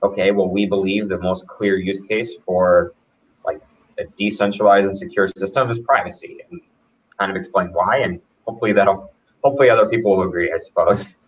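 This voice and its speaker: Man, host, low voice, sits far back from the mic, muffled lo-fi sound. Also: fairly quick, slightly rough. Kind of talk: casual chat. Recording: dull distant audio.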